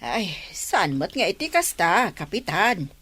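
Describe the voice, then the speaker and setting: A woman playing a chatty, questioning village woman in an Ilocano comedy drama, expressive and prodding. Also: lowish voice, measured pace, breathy edge, exaggerated voice. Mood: prodding, curious. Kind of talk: Ilocano radio-style comedy drama acting.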